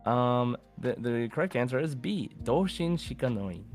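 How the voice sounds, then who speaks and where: A man hosting a podcast quiz in casual banter, slowing down deliberately for questions and options. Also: medium pitch, animated, a little mellow, faint music behind. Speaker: podcast host and quiz master.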